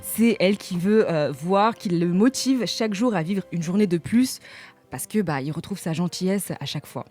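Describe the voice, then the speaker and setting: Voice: medium pitch, animated, normal speed, darkish tone. A radio columnist talking through a prepared manga review in a loose, chatty way. The delivery is playful, with jokey asides to the listeners and a mid-range voice. Faint music sits under the studio talk.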